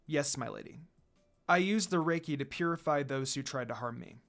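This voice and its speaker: A man's voice: a little high, moderate pace, gravelly, a bit bright, faint music behind. A man voicing a polite, earnest young priestess in a story, explaining things respectfully. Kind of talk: storybook character reading.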